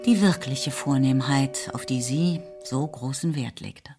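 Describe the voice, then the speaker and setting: A woman's voice: very deep voice, measured pace. A woman narrator reading literary scripted narration for a radio play. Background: instrumental music.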